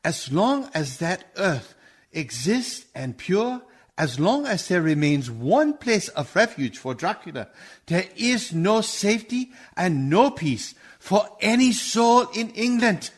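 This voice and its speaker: A man plays a grave vampire-hunting doctor in a radio drama. His husky voice sits fairly high and moves with lively swings, earnest and dramatic, at a deliberate pace. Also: heavily acted. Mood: urgent, solemn. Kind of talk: radio play acting.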